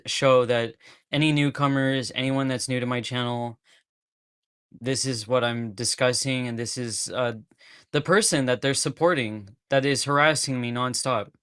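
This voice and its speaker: A man's voice: medium pitch, fairly bright tone, clean tone, dry recording, studio quality. A man from California chatting casually on a livestream, rambling and breaking into nervous laughs. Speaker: streamer.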